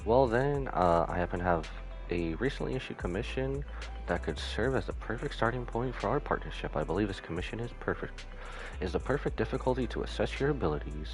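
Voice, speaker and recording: A man's voice: deep voice, low-quality recording. A man reading aloud as a polite, reassuring intelligence broker in a game, courteous and businesslike.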